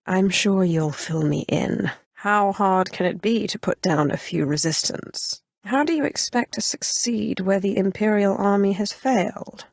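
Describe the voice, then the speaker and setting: An actress playing a haughty, cold, ambitious Sith Inquisitor in a video game, with a clipped, imperious and threatening way of talking. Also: female voice, medium pitch, a little slow, hardly any pauses, no room sound, studio quality. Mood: cool calculation. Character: haughty, menacing female Sith Inquisitor, ambitious former slave. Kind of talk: video game character dialogue.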